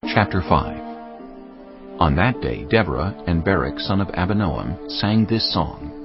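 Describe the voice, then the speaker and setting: A man with a deep voice narrates scripture as scripted drama. His pitch moves expressively through the story, and soft music sits behind him. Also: very animated, measured pace, muffled recording.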